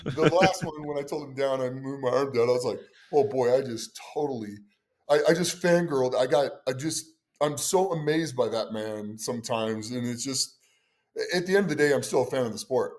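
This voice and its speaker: A man hosting a casual podcast chat, with a loud voice and an emphatic, excitable way of telling stories. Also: medium pitch, a little fast. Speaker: podcast host and strongman judge.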